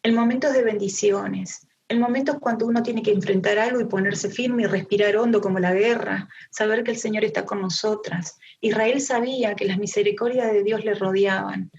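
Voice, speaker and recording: A woman's voice: mid-range voice, fairly even intonation, normal speed, creaky, hardly any pauses, darkish tone. A middle-aged woman with an Argentine accent gives a conversational devotional Bible teaching to a women's group, addressing her listeners directly. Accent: Argentine Spanish. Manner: engaged, addresses listeners directly with rhetorical questions. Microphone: online group call. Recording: dull, lo-fi online meeting audio.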